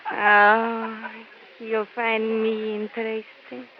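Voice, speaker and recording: Casting: alluring foreign nightlife woman, guarded, high voice, stilted phrasing; vintage radio drama with noisy, muffled sound. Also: monotone, very slow. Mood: guarded, coy. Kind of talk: 1940s radio drama acting.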